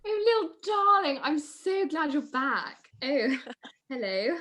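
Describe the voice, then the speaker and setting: A breezy, knowing society lady from a 1940s radio mystery, played by a woman. She speaks in a fairly high voice with a gushing, flirtatious and teasing manner. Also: sing-song, slow pace, fairly bright tone, slight background noise, heavily acted. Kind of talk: 1940s detective radio play acting.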